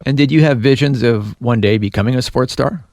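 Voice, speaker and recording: A man conducting a radio interview, his voice slightly gravelly as he asks encouraging questions. The studio sound is dry and close. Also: mid-range voice, fairly quick, no room sound. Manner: warm, encouraging questions with brief backchannels.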